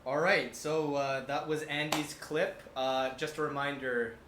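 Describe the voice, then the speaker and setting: A man's voice: on the high side, steady tone, unhurried, over music. A man hosting a podcast segment in a casual, rambling, joking way, with informal banter and some swearing. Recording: roomy sound with faint background noise.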